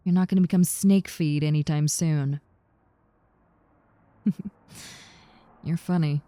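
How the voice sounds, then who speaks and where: A woman's voice: low-pitched, fairly even intonation, slow, mellow, no room sound. A woman playing a friendly, harmless giant snake-girl roommate in a roleplay audio, talking in a casual, reassuring, teasing way. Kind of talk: roleplay audio with personal attention, conversational acting.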